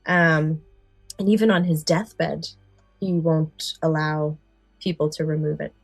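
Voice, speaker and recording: A woman's voice: sits low, fairly even intonation, measured pace, husky, no echo, faint music behind. A woman co-hosting a podcast in casual chat, recounting favourite stories and often correcting herself. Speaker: podcast co-host.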